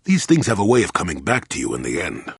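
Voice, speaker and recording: A crotchety old male hermit from a sci-fi video game, low and gravelly. He grumbles in a folksy, sardonic way, his pitch rising and dropping freely as he needles whoever is listening. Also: huge pitch swings, fast, dry recording, studio quality, exaggerated voice. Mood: reflective, wry. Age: older. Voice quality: gravelly, husky. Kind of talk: video game character acting.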